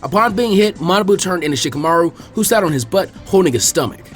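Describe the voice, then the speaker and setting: A man narrates a fan story with a lively, expressive read. His voice sits fairly high and moves all over the place, with faint music underneath. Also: quick pace. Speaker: fanfiction reader.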